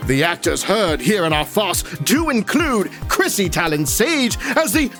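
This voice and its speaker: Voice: medium pitch, big pitch swings, measured pace, a bit bright, soft background music. An actor playing a pompous town crier, proclaiming credits in mock-archaic, singsong theatrical style. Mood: grandly proclaiming.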